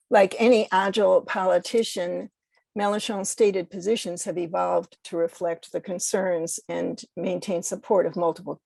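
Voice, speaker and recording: A woman speaking as an interview guest, giving considered political commentary in a measured, carefully worded way. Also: fairly low pitch, gravelly, dark tone, slightly rough, no echo. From United States.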